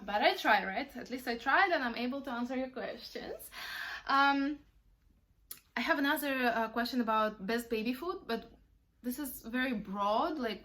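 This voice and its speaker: A woman's voice: fairly high pitch, a bit bright. A young woman from Ukraine, a native Russian speaker, chatting casually as a vlogger and mother, answering questions with lots of ums and uhs. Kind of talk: casual Q&A while wrapping gifts.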